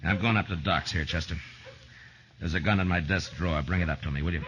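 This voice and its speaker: A man's voice: low voice, quick pace, lightly acted. An American man playing a tough, laconic, plainspoken marshal in an old-time radio western. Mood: steady determination. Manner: terse, plainspoken, unflinching. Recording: muffled, noisy 1950s radio recording.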